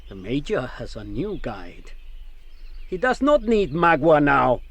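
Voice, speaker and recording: Radio drama role: resentful Huron scout, slow and stern, stilted formal phrasing, creaky grain. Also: male voice, on the high side, huge pitch swings, a bit bright, full character voice. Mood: bitter, defiant. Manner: stilted, formal, declarative speech. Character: proud, resentful Huron guide, defiant and guarded.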